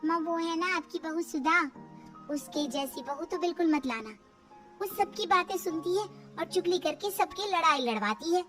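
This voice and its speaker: A woman's voice: extremely high pitch, lively intonation, moderate pace, a bit bright, soft background music, pitched above the performer's own voice. A woman voicing a stubborn, plaintive young sister with an emphatic, pleading manner in a narrated story. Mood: confiding.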